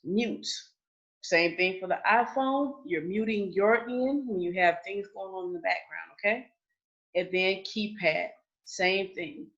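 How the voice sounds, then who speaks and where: A woman walks a group through a lesson in a relaxed, chatty way and keeps checking in with "okay?" Her voice sits at an ordinary middle pitch. The video call audio sounds a little dull and lo-fi. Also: unhurried. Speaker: trainer. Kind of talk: online training session.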